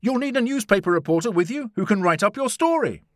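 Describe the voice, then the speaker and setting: A man voicing an eager, excited newspaper reporter who is keen to impress, in an audiobook adventure. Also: high voice, quick pace, no room sound, studio quality, pitched above the performer's own voice.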